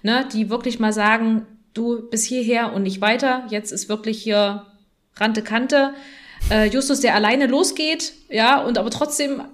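A woman's voice: fairly high pitch, steady tone, unhurried. A woman co-hosting a podcast in casual chat, often ending phrases by seeking agreement. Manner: often seeks agreement with tag questions. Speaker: podcast co-host.

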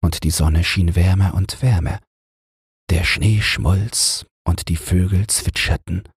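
A male narrator reading bedtime fairy tales. His low voice is very breathy and husky, recorded close and dry. He keeps a calm, measured delivery. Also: dry recording. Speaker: audiobook narrator.

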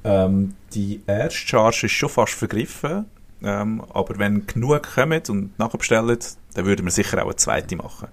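A man hosting a casual podcast in Swiss German, joking and relaxed in his banter. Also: on the low side, moderate pace, hardly any pauses. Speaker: podcast host. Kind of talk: casual chat.

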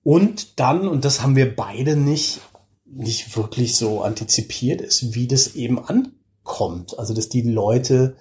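A man in his forties chatting casually as an interview guest, telling things with animated enthusiasm. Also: medium pitch, a little slow. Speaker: interview guest, podcaster and audio drama creator.

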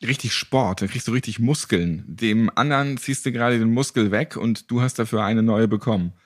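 A man's voice: mid-range voice, normal speed. A man hosting a casual interview, reacting in a colloquial, jokey way with bits of wordplay. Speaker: interviewer, podcast host.

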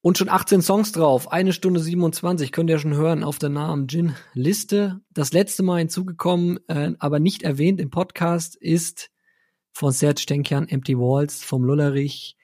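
A man's voice: a little high, moderate pace, warm tone. A man co-hosting a music podcast, chatting informally and colloquially. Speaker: podcast co-host. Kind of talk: casual music podcast chat.

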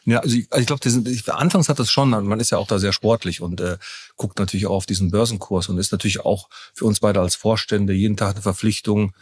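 Fast-talking man with a deep husky voice. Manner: rambling, often restarting sentences. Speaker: company co-founder and executive, podcast guest. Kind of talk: casual business interview.

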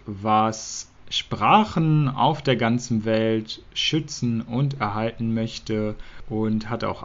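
A man from Germany, hosting a podcast and explaining slowly and clearly for learners. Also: on the low side, lo-fi sound. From northern Germany. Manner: slow, clear, deliberate speech for learners. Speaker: podcast host.

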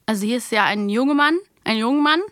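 A woman hosting a podcast, chatting casually and informally in an animated, anecdotal way. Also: a little high, fast talker, no room sound, clean studio sound. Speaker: podcast host. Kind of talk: casual chat about children's audio dramas.

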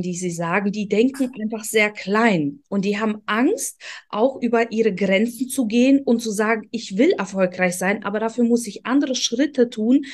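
A woman from Ukraine, hosting an interview and talking in an earnest, motivational, direct way. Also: mid-range voice. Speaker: host. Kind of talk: interview conversation.

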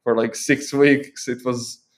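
A man's voice: medium pitch, flat delivery, slow pace. A young man from Croatia with a Croatian accent, a guest talking casually and thoughtfully in an interview, often restarting his phrases. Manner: thoughtful, with frequent restarts and fillers.

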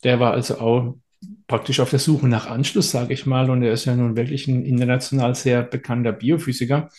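A man's voice: medium pitch, flat delivery, brisk, darkish tone. Hesitant middle-aged man telling a story. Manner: hesitant, many filler sounds, anecdotal. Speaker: therapist and guest. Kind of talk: casual storytelling in an interview.

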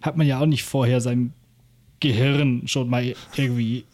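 A man's voice: mid-range voice, fairly even intonation, moderate pace, darkish tone. A man co-hosting a podcast, chatting casually and rambling with many fillers and restarts. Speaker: podcast co-host. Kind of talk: casual chat. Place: holiday flat.

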